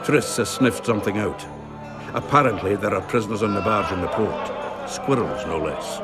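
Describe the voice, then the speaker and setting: Male actor as dwarf tradesman, low voice, earthy, wry, grumbling, video game cutscene, loud music behind. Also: moderate pace, lightly acted. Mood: wry, chatty. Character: plain-spoken, grumbling male dwarf merchant and craftsman.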